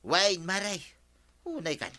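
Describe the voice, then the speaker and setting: A man's voice: high voice, huge pitch swings, slow, very noisy, heavily acted. A man playing a cheeky, brazen petty thief who turns whiny, in an audio drama, with an Ilocano accent. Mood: whining, pleading. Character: cheeky male tilapia thief, brazen, whining when caught.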